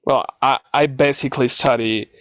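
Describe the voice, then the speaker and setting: A man's voice: medium pitch, fairly even intonation, normal speed, fairly bright tone, dry recording. A man from Mexico with a Mexican accent, a native Spanish speaker, answering interview questions as a scientist, hesitantly searching for words. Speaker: scientist, English learner being interviewed.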